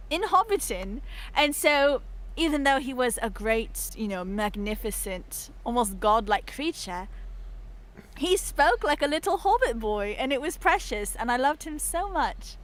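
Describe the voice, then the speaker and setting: A woman chatting casually on a livestream, effusive and theatrical, putting on a British accent with old-fashioned formal phrasing. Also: fairly high pitch. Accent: put-on British.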